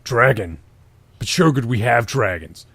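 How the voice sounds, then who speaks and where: A man's voice: medium pitch, animated, measured pace, a bit bright, husky, no echo, full character voice. A man voicing a brash young wrestler boy at wizard school, blunt and incredulous, in a comic story reading. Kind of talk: comedic dramatic reading of fan fiction.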